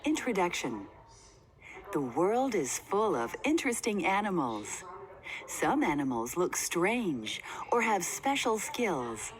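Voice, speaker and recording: A woman reading a children's nonfiction book aloud in a deliberate, simply phrased way for young listeners. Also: fairly low pitch, very animated. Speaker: read-aloud narrator. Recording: faint background noise.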